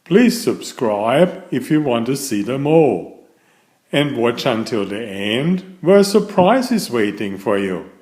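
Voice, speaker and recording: Male picture-book narrator, unhurried and animated, expressive bedtime delivery, roomy echoing sound. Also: mid-range voice. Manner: expressive, deliberate, simple storybook delivery. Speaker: storyteller reading a picture book. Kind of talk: bedtime story read-aloud. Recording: echoey room sound.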